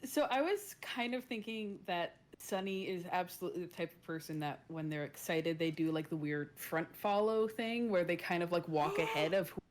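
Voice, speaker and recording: A woman's voice: lowish voice, normal speed, few pauses. A woman player in a tabletop roleplaying game, casually and tentatively explaining what her character does.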